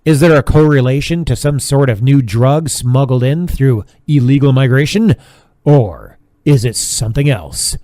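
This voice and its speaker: A man's voice: medium pitch, lively intonation, moderate pace, warm tone, no room sound, clean studio sound, lightly acted. A man playing a sensational radio news reporter, giving an emphatic, formal mock bulletin in an audio drama. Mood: urgent, emphatic.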